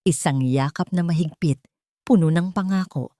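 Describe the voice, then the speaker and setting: Female storyteller for romance drama: lowish, mellow voice, sentimental scripted delivery. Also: normal speed, dry recording, clean studio sound.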